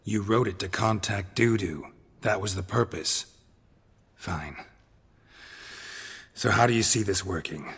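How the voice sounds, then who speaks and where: An actor playing a gruff, laconic monster hunter in a video game, gravelly and deadpan in delivery. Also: male voice, lowish voice, a little slow, long pauses, big-room sound, exaggerated voice. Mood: terse, deadpan. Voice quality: gravelly, husky. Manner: terse, deadpan.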